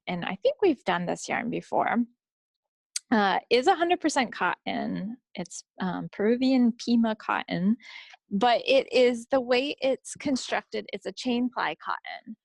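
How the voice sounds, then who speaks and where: A middle-aged woman hosting a podcast, talking casually about products in an informal, halting way and often circling back to rephrase. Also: fairly low pitch, vocal fry, dry recording. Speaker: podcast host presenting yarn picks.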